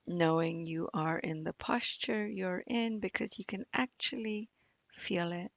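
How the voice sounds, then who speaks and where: A woman guides a meditation very slowly, in a fairly low voice. Her delivery is gentle and soothing, with long pauses and a gravelly fry at the edges. The sound is close and dry. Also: no echo, highs cut off. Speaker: meditation teacher. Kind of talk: guided body scan meditation.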